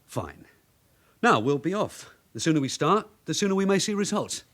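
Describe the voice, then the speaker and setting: An actor playing a bossy, streetwise long-serving detective sergeant in a radio drama, with a London accent and a brisk, clipped, commanding manner. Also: male voice, a little high, sing-song, fairly bright tone. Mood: polite, official. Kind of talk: naturalistic British radio drama acting.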